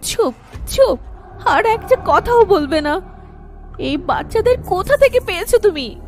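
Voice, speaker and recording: A woman voicing a very high-voiced young wife narrating. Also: sing-song, a little hiss or hum, full character voice. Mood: frightened. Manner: slow, tense storytelling. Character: young wife telling her own horror story, terrified. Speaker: first-person narrator. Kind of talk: animated horror story narration.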